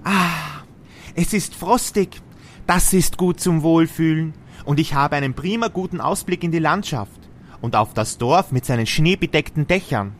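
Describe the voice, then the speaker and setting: A man's voice: fairly high pitch, normal speed, a little hiss or hum, close to an everyday voice. A man playing a friendly, naive snowman in a fairy-tale radio play, with earnest, formal phrasing. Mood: content.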